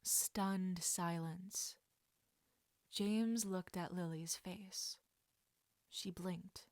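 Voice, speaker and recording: A woman reading a story aloud as an expressive audiobook narrator. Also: on the low side, very slow, dry recording, clean studio sound. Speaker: audiobook narrator of fan fiction.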